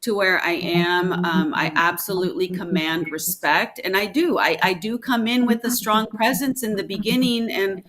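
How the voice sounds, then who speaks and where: Woman from mexico, spanish native, mid-pitched, talks about her classroom in a firm storytelling way. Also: moderate pace, hardly any pauses, a bit bright, poor audio. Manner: assertive, storytelling, acts out classroom exchanges. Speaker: high school French teacher, guest. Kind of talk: casual conversational interview.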